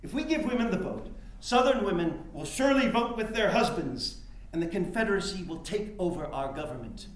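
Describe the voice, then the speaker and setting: Casting: man to play self-assured, patronizing Victorian-era politician for the stage, oratorical, high register with very animated delivery. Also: brisk. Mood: condescending. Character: condescending, self-assured 19th-century male reformer and politician. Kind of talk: theatrical staged-reading acting. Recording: very echoey, roomy hall recording.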